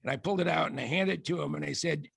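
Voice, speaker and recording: Guest speaker, older man, very gravelly and husky voice, dry close sound, halting storyteller. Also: a little high, fairly bright tone, no echo. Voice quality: raspy, gravelly voice. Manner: halting, many restarts and fillers. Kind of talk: rambling anecdotal presentation.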